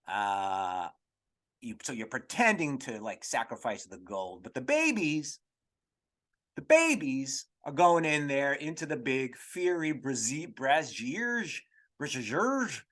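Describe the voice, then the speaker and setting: A man hosting a comedy podcast, rambling through an irreverent, profane monologue in a halting, digressive way. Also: medium pitch, very animated, a bit bright. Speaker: podcast host.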